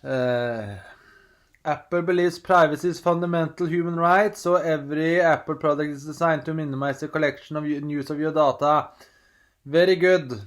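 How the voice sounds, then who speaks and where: A man's voice: on the high side, normal speed. A man from Norway with a Norwegian accent, chatting casually at home while unboxing, rambling and hesitant with many restarts, reading screen prompts aloud. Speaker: vlogger.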